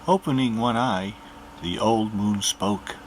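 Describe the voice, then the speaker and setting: A man narrating a children's picture book aloud in an animated storytelling manner. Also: fairly low pitch, slow, slight background noise, low-quality recording.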